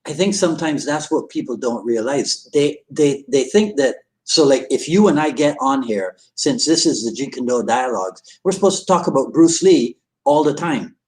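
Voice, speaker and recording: A man's voice: medium pitch, animated, normal speed, few pauses, a little mellow. A man hosting a martial arts podcast, talking in an upbeat, chatty way and joking with his listeners. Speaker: podcast host. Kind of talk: casual interview talk and sign-off.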